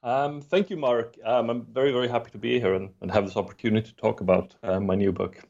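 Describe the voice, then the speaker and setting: Low-voiced man with a mixed European accent. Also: fairly even intonation, fast talker, gravelly, darkish tone, muffled recording. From Sweden, raised in Germany. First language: not English. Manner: hesitant, many ums and restarts, laughs easily. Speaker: historian, guest author. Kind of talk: podcast interview.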